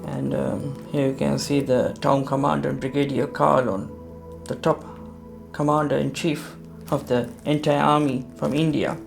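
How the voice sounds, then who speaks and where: Middle-aged guy from sri lanka telling old war memories, kinda gravelly voice, stops and restarts a lot, echoey room with faint music under it. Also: mid-range voice, flat delivery, a little slow. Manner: hesitant, many fillers and restarts. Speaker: interviewee recounting war memories. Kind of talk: documentary interview, personal recollection.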